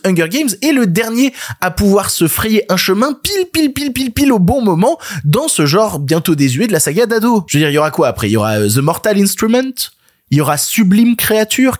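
A man in his late twenties with a cold and a blocked nose, hosting a scripted film review podcast with sarcastic asides. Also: sits high. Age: late 20s. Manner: sarcastic, self-deprecating, quick asides. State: congested with a cold, stuffy nose. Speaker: podcast host, film critic.

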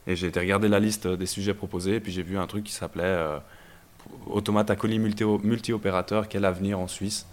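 A young man from Belgium, a startup founder telling his story in a casual interview, relaxed and hesitant with many fillers. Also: sits low, quick pace. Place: startup incubator office.

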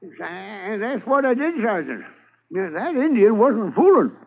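An actor playing a nervous frontier trader with a raspy, gravelly voice in a 1950s radio drama. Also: male voice, high voice, very animated, a little slow, heavily acted. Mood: jittery. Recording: thin phone-like old radio transcription.

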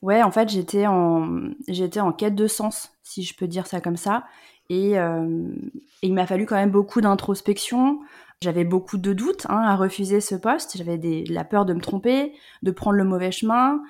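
A woman's voice: mid-range voice, normal speed, darkish tone. Hesitant, reflective woman in her thirties. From Rhône-Alpes, France. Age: 30s. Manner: reflective, many hesitant "euh" fillers. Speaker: guest. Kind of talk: personal story in an interview.

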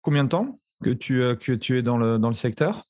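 A man's voice: mid-range voice, animated, brisk, darkish tone, no room sound. Hesitant male podcast host, dry sound. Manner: hesitant, repeats words while asking. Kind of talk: casual interview questions.

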